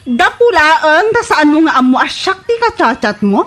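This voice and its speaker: Casting: actress as scornful young Ilocano woman, very high voice, animated scolding, radio comedy drama. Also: moderate pace. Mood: angry, scornful. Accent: Filipino, Ilocano. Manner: scolding, emphatic. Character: fed-up, scornful woman confronting her womanizing boyfriend.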